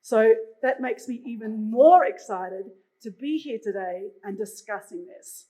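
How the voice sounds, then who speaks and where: High-voiced Australian woman moderator. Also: expressive, measured pace, warm tone, poor audio. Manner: chatty, frequent "uh" fillers. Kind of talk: conference stage introduction. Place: conference stage.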